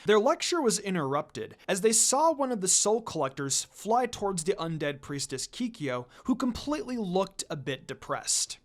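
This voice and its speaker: An amateur story reader narrates dramatically. The delivery has a mid-range pitch that rises and falls widely. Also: big pitch swings, normal speed, hardly any pauses.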